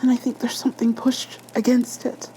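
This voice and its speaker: A woman voicing a frightened, upset nineteen-year-old girl with halting speech in an audio horror story. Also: fairly high pitch, completely flat delivery, warm tone, whispered, voice pushed up, close to an everyday voice, poor audio. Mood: panicked.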